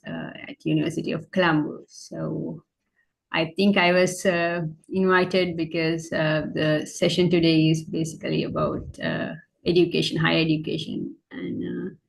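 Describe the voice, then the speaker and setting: Sri woman, deep creaky voice, monotone, measured lecturer. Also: rough recording. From Sri Lanka. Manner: measured, plain, with fillers. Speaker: assistant lecturer in economics.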